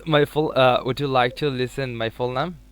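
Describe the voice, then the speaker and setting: A man's voice: mid-range voice, fairly even intonation, normal speed, clean tone, no echo. A man in his twenties from Bangladesh, speaking on a video call and searching for words, with many fillers and restarts. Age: 20s. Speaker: English learner chatting with a stranger online. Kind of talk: casual video chat.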